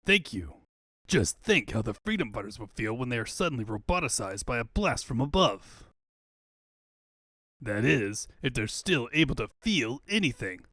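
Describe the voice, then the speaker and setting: A man's voice: medium pitch, very animated, normal speed, bright, forceful tone, dry recording, clean studio sound. A man voicing a pompous, boastful mad scientist villain with theatrical menace in a comic book fandub. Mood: gloating. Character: pompous, gloating evil male mad scientist tyrant.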